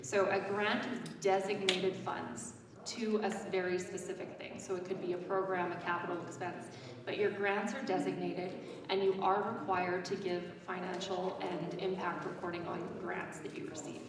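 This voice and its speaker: A woman with a loud voice speaks in a casual, chatty way at a conference. She sounds far from the mic in a large hall with long reverb. Audience noise sits behind her. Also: lowish voice, level tone, normal speed, crowd noise. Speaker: grant writing consultant. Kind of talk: conference presentation. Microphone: small handheld microphone.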